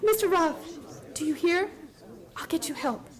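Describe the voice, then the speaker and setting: A high-pitched actress playing a woman patient in a radio play, haunted and obsessed. She recounts her strange story with theatrical intensity and an urgent, frantic edge. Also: unhurried. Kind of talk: radio play acting, first-person dramatic narration.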